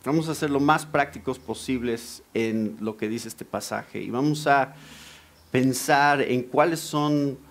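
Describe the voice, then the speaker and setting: A man from Mexico with a Mexican Spanish accent, preaching a lively sermon and asking the congregation questions. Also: medium pitch. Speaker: pastor.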